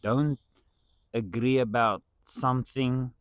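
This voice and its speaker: A man whose first language is Afrikaans speaks in a casual, earnest tone with playful asides. His voice sits at an ordinary middle pitch. It is recorded close and dry, with no room sound. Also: steady tone, slow pace, dry recording. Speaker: podcast guest. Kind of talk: casual chat.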